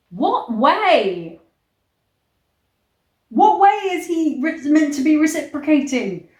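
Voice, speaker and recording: A woman with a slow, unhurried delivery reads stories and gives casual commentary. She is wry and sarcastic, and her voice carries the echo of the room. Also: sits high. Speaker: host reading a book aloud and reacting. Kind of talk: read-aloud storytelling with casual vlog commentary. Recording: echoey room.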